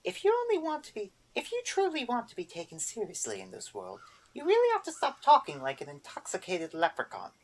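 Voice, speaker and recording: Erder: prim fussy old witch, very high and dainty, played by a male narrator. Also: huge pitch swings, brisk, hardly any pauses, heavily acted, rough recording.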